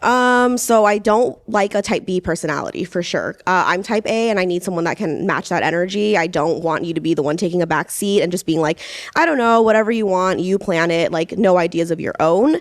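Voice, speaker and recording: A woman's voice: on the low side, fairly quick. A woman in her thirties, a dating show contestant, talking in a chatty way with many fillers. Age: 30s. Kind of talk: casual dating show talk.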